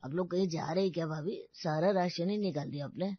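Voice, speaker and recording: A man's voice: on the high side, a little fast, no room sound, muffled recording, close to an everyday voice. A man playing a friendly, deferential neighbourhood grocer in a family story. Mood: obliging. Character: male neighbourhood grocer, obliging and respectful.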